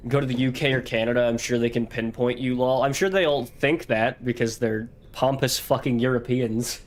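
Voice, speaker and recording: A man from Louisiana co-hosting a game stream, sick and clearing his throat, talking bluntly with a lot of swearing. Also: medium pitch, steady tone, normal speed. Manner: blunt, profane, meandering. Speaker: streamer co-host. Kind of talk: casual let's-play chat.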